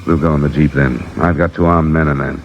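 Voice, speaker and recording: Tough cool radio detective, deep voice, dull vintage broadcast sound. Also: male voice, steady tone, quick pace. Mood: firm, cool. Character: tough, cool hard-boiled male investigator narrating his case. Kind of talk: 1950s radio detective narration and acting. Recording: muffled old radio broadcast.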